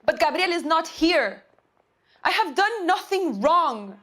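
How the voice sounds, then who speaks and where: A woman's voice: very high-pitched, big pitch swings, takes it slowly, a bit bright, exaggerated voice. A woman playing a defiant, loyal young pregnant girlfriend in a game drama. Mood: indignant. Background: background music.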